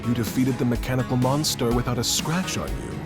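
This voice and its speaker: A man playing a calm, wise martial arts mentor in a video game, speaking in a measured, instructive way. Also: medium pitch, creaky. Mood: approving, grave. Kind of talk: video game cutscene acting. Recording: game music behind the voice.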